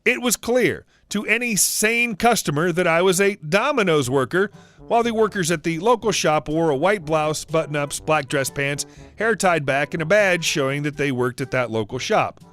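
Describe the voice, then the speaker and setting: A man giving a scripted narration of online stories as the channel's narrator, reading in an even, steady way. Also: on the high side, moderate pace, faint music behind. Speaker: story narrator.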